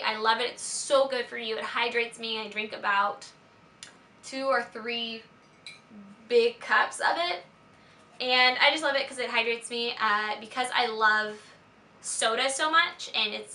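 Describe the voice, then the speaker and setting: A young adult American woman with a slightly raspy voice, chatting casually and gushing emphatically as a lifestyle vlogger about her favorite things. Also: fairly high pitch, pushed and bright. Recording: roomy echo, faint background noise.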